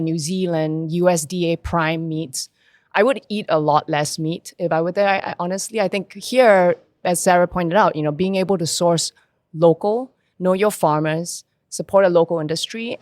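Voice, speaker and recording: A woman from Singapore with a lowish voice, speaking as a restaurant owner. She talks in a measured, thoughtful way, recorded dry and close. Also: a little mellow, no echo. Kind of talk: casual podcast conversation.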